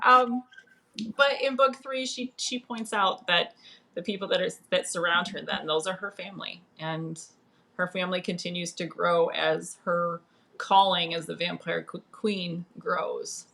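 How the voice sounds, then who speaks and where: A woman's voice: on the low side, fairly even intonation, measured pace, creaky, bright, forceful tone. A woman speaking as a guest author in a casual livestream, playful and informal in manner. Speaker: author guest on a book live stream.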